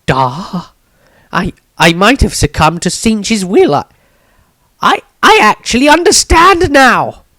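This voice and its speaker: Man putting on a high, questioning young wizard apprentice. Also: sing-song, pushed and bright, full character voice. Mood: awed. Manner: questioning, strained, halting. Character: young male wizard apprentice, doubting and emotional. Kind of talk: fantasy audiobook character reading.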